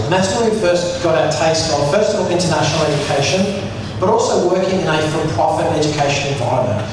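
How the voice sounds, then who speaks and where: A man in his forties with an Australian accent, giving an animated conference presentation into a handheld microphone in front of an audience. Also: fairly high pitch, recorded from a distance, background noise. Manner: animated, gestures while speaking. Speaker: school management executive. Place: large conference room with audience. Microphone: handheld microphone through PA. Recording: echoey hall recorded from afar.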